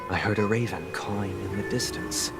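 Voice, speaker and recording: An actor playing a grave, guarded young brother in a video game, speaking in a measured, stern, restrained way. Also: male voice, on the low side, fairly even intonation, lightly acted. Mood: solemn. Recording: loud music under the voice. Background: loud game music.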